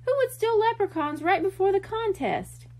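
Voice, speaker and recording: A genial small-town bookseller from a children's storybook, voiced by a woman, high-pitched and emphatic. The delivery swings widely in pitch, full of friendly conviction. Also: expressive, normal speed, a little mellow. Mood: puzzled.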